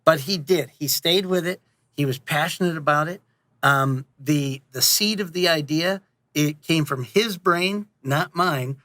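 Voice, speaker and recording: A man talking casually as an interview guest, in an animated, rambling and jokey way, often interrupting himself. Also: fairly high pitch, measured pace, no echo. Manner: casually profane. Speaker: interview guest, audio drama director and producer.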